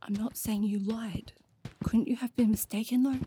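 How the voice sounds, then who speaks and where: A woman playing an impulsive, stubborn young traveler in an audio drama, casual and quick to argue. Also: a little high, fairly even intonation, mellow, a little hiss or hum, natural voice. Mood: doubtful, hushed. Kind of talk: naturalistic audio drama acting.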